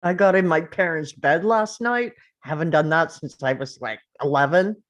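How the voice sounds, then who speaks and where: A woman playing a nerdy, earnest know-it-all boy at a screenplay table read, halting and pedantic. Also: deep voice, lightly acted. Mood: sheepish.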